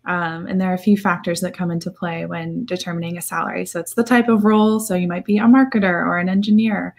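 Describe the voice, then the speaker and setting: A slightly raspy, congested-sounding woman answering questions informally as a recruiting manager on a video-call panel. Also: fairly low pitch, steady tone, fast talker. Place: indoor room, video call.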